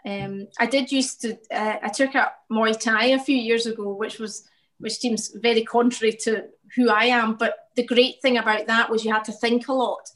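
Lady painter, kinda high voice, laughs a lot, easy chat. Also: steady tone, normal speed. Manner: warm, reflective, laughs often. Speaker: painter, interview guest. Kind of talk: casual interview chat.

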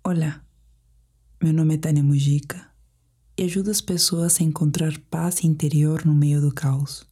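Brazilian lady doing sleep meditation, low soft voice, super breathy and whispery, calm n measured. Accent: Brazilian Portuguese. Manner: slow, calm, soothing, measured. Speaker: meditation guide.

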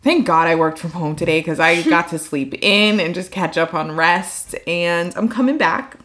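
A woman in her thirties co-hosting a casual podcast chat, a little hoarse, playful and laughing often. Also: lowish voice, normal speed, fairly bright tone. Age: 30s. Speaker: podcast co-host.

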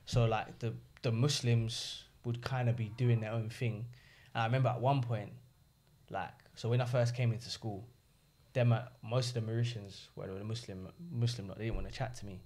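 A man's voice: fairly low pitch, normal speed. A young man, a podcast guest telling stories in casual chat and acting out the people he quotes. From England. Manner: animated storyteller, acts out quoted voices.

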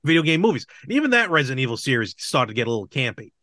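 A man's voice: medium pitch, sing-song, rapid-fire, bright, forceful tone, no echo, studio quality. A man hosting a podcast, chatting casually about movies and games. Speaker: podcast host.

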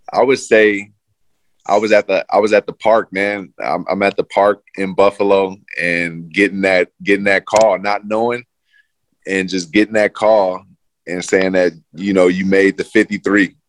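A man's voice: lowish voice, level tone, unhurried, no room sound, rough recording. A man in his thirties, a former pro football player, chatting casually as an interview guest with a loose, laid-back manner and lots of fillers.

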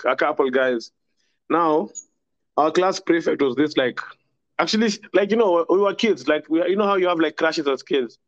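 A man's voice: medium pitch, smooth, no echo, muffled recording. A young man with a Kenyan accent, a podcast guest telling stories from school in a rambling, casual way. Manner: rambling, laughs often, mixes in Swahili. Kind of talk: casual storytelling chat.

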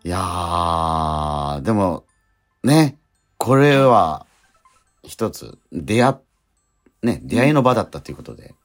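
Very deep-voiced, lively male radio host. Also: slow, long pauses, soft background music. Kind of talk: casual radio chat.

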